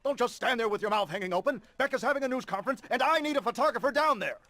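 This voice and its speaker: A man voicing an irascible, bossy middle-aged newspaper boss in a cartoonish video game, barking impatiently in a raspy voice. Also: very high voice, lively intonation, racing, sharp tone, no room sound, full character voice. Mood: irate, bossy.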